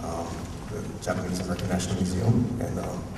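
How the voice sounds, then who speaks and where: A university professor from Hawaii with a Hawaiian accent, giving a rambling, informal, unscripted talk at a community forum and often chuckling. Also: male voice, sits low, little pitch movement, fast. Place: museum auditorium. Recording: echoey hall, distant mic, faint noise.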